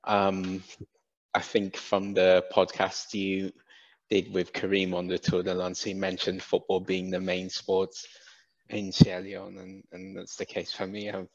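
A man's voice: deep voice, steady tone, unhurried, vocal fry. A man from the UK with a British accent, chatting as a podcast guest and hesitantly correcting himself as he goes. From UK, Wales. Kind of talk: casual conversational interview. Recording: slightly dull, lo-fi sound.

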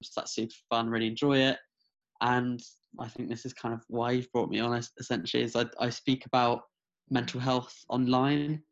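A man's voice: mid-range voice, little pitch movement, moderate pace. A man in his twenties, raised in England and France, talking earnestly as a podcast guest, with many restarts. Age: 20s. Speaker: guest, mental health advocate. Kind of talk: casual interview over video call. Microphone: video call. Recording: slightly dull lo-fi audio.

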